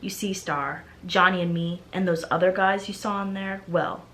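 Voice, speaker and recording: A woman's voice: fairly low pitch, fairly even intonation, moderate pace, fairly bright tone. A woman voicing a cryptic, brooding teenage boy from a story, confiding in a dramatic, earnest way. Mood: brooding, intense.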